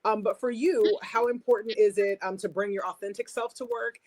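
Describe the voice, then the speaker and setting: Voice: mid-range voice, fairly even intonation, a little fast, a little mellow, low-quality recording. Encouraging podcast host with long questions. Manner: encouraging, asks long multi-part questions. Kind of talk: interview questions.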